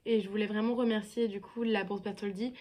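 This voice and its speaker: A woman's voice: medium pitch, flat delivery, fairly quick, dark tone. A woman in her twenties from France, a student recording a thank-you video message, earnest and grateful, with occasional hesitant fillers. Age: 20s. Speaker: scholarship-winning student.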